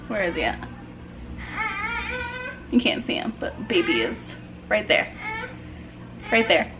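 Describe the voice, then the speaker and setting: Raspy, hoarse sick woman vlogging. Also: medium pitch, sing-song, slow pace, pauses often, muffled recording, music in the background. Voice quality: raspy, weak voice. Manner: tired, chatty, laughs between sentences. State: short of breath. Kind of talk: casual vlog chat.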